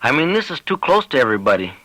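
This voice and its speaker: A man's voice: medium pitch, sing-song, very fast, pushed and bright, no echo, pitched above the performer's own voice, poor audio. Man voicing a weary, hesitant small-town sheriff. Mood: worried.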